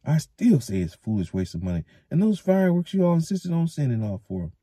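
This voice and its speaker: A sour old village thatcher who complains about every expense, as a male narrator puts him on. The voice rides high and wavers. It sounds husky and close. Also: big pitch swings, a little fast, warm tone, dry recording. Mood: complaining.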